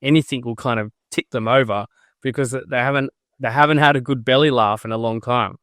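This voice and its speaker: A man's voice: mid-range voice, steady tone, fairly quick, fairly bright tone, no echo, studio quality. A man hosting a podcast interview, talking in a friendly, earnest way with a few hesitant restarts. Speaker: podcast host.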